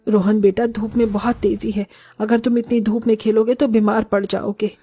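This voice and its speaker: A woman playing a kindly, poor village grandmother who explains gently and patiently in a cartoon story. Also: medium pitch, flat delivery, normal speed, husky, natural voice.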